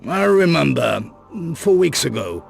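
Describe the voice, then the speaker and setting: An actor playing a wary, menacing underworld boss in a video game, guarded and dismissive in manner. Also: male voice, medium pitch, big pitch swings, unhurried, creaky, husky, soft background music, full character voice. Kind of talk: video game cut-scene acting.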